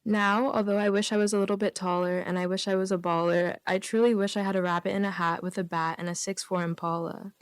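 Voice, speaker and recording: A woman's voice: medium pitch, little pitch movement, fast, hardly any pauses, clean tone, no echo. A woman guesting on a comedy radio show, reading out written jokes with casual asides.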